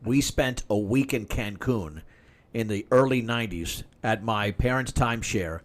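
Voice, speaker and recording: A man's voice: lowish voice, measured pace. A man in his fifties, a podcast guest, telling long drinking anecdotes in casual chat. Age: 50s. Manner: long-winded anecdotes, talking over others.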